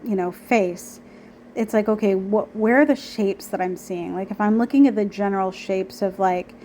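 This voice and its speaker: A young woman talking casually about her painting, a bit nasal and raspy from a cold, chatty and self-deprecating with lots of ums and likes. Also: mid-range voice, normal speed, dark tone, slight background noise. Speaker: art vlogger. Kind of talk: casual narration over painting footage.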